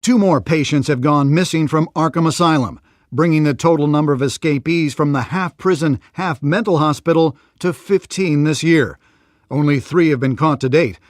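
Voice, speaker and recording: Casting: male actor as game news anchor, higher-pitched, forceful, polished bulletin read, dry studio sound. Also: no echo, lightly acted. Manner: polished, emphatic newsreader delivery. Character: brisk male city news anchor reporting crime. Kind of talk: scripted news broadcast.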